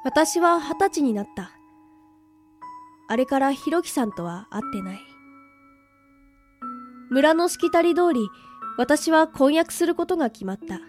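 Actress as a high-pitched young village girl, tender, wistful, longing, radio drama, soft music underneath. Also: animated, unhurried, pauses often. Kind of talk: radio drama acting with inner monologue.

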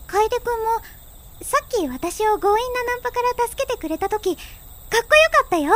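A woman's voice: very high voice, lively intonation, moderate pace, slight background noise. A woman playing a pretty, self-absorbed teenage schoolgirl in a Japanese audio drama, speaking in a coy, teasing, sweetly girlish way. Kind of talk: Japanese anime-style audio drama acting. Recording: background music under the voice.

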